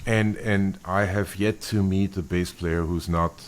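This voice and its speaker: A middle-aged man from Austria talks through his answers in a low voice. He pauses, restarts and searches for words as he thinks aloud in a relaxed interview. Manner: thoughtful, halting, many restarts and fillers. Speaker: bassist guest. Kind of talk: interview conversation.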